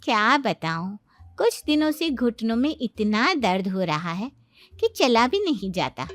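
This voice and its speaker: A woman's voice: on the high side, very animated, unhurried, a bit bright, no room sound. A woman playing a welcoming, chatty older auntie in cartoon story dialogue.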